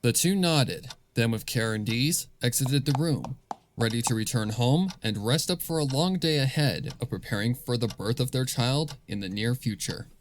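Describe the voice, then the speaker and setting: A man's voice: medium pitch, animated, normal speed, a little hiss or hum. A man reading scripted fan fiction as the narrator of a story.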